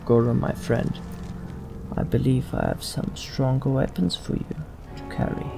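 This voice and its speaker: A man doing in-character roleplay commentary for a let's play, speaking in a measured way as the leader of a fantasy party. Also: medium pitch, level tone, creaky. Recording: game music behind the voice. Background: fantasy video game music.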